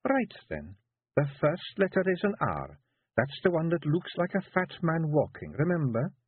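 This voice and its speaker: A man voicing a sharp-witted, bossy female pixie with a Scottish accent who talks briskly and coaxingly. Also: a little high, very animated, husky, no echo, narrow, tinny audio, voice pushed up.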